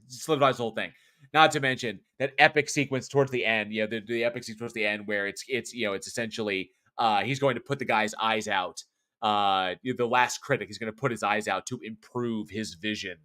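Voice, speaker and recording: A man's voice: mid-range voice, bright, forceful tone. A man in his forties, a podcast co-host, talking passionately about a horror film in casual review chat, rambling with restarts and the odd curse. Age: 40s.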